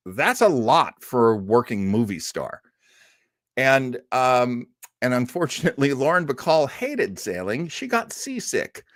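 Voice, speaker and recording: Chatty guy hosting a livestream, pitch jumps around a lot, dry close sound, jokey and into it. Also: sing-song, unhurried, dry recording. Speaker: host of a live listening party. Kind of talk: casual live-stream presenting.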